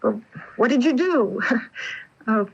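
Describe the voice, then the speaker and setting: A woman's voice: on the high side, expressive, slow pace, creaky, husky, exaggerated voice. An actress playing a curious young woman in a radio mystery drama, hesitant and wondering. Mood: curious, eager. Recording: dull, lo-fi AM radio tape.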